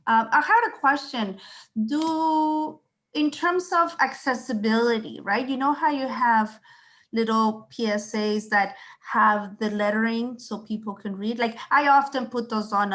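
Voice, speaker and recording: A woman's voice: a little high, unhurried, fairly bright tone. Woman thinking aloud on a call. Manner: thinks aloud with many restarts and asides. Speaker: client at a school. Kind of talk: video call meeting. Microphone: video call.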